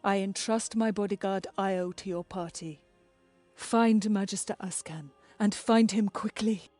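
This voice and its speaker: Mellow-voiced regal queen played by a woman. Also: medium pitch, fairly even intonation, unhurried, dry recording, faint music behind, natural voice. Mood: composed, concerned. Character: regal queen, dignified, concerned for her embattled people. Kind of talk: dramatic video game voice acting.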